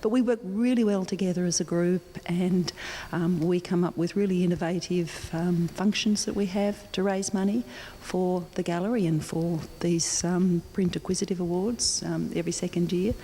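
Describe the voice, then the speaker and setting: A woman's voice: fairly low pitch, steady tone, normal speed, dark tone. An older woman with an Australian accent answering interview questions off the cuff, hesitating and repeating words as she finds her phrasing. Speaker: support group president and interviewee. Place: large indoor gallery. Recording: echoey hall with clear background noise.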